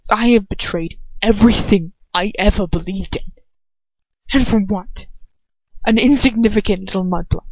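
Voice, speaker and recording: A woman's voice: medium pitch, moderate pace, a little mellow, no echo, lightly acted. A woman voicing a cruel, proud, hot-tempered aristocratic wizard, bitter and venomous, in a storybook reading. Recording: dull, lo-fi, dry home recording.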